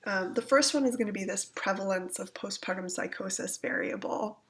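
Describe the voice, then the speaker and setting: Gravelly-voiced woman with a cold, teaching. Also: mid-range voice, brisk. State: getting over a cold. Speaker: instructor giving a software tutorial. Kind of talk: informal step-by-step screencast walkthrough. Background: computer keyboard typing.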